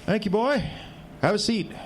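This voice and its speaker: Man playing a reserved, gruff older uncle. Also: high voice, normal speed, background noise, close to an everyday voice. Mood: hospitable. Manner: terse, dry, folksy. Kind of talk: audio drama acting.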